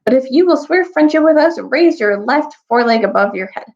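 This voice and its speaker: A woman voicing a small, bold male talking creature in a storybook, shrill and formally chivalrous. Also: expressive, brisk, a little mellow. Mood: courtly, commanding. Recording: dull, lo-fi audio.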